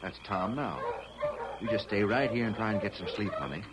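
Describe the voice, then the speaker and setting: A man plays a trusting American homesteader in a vintage radio western. His voice is low and swings widely as he reassures in a folksy, stubbornly hopeful way. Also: animated, normal speed, heavy background noise, lightly acted. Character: hopeful, trusting frontier farmer husband, stubbornly cheerful. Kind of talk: 1930s-40s radio drama acting.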